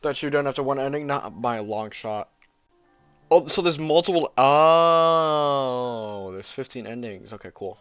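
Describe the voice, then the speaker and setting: A man streaming a game live, reacting casually in a halting, bemused way. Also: mid-range voice, expressive, fairly bright tone, smooth, dry recording. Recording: dull lo-fi mic with faint music.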